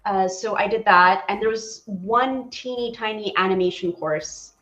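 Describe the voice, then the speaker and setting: A woman's voice: medium pitch, unhurried, fairly bright tone, roomy sound, rough recording. A woman in her forties from Poland, chatting animatedly as an interview guest, with many fillers. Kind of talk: casual interview chat.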